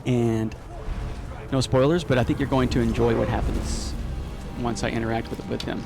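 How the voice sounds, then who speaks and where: A man with a Texas accent giving casual, excitable voiceover commentary on his gameplay. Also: medium pitch, moderate pace, vocal fry, over music. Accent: Texan. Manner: chatty, excitable, casual with filler words. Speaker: gaming YouTuber. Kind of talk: let's play voiceover commentary.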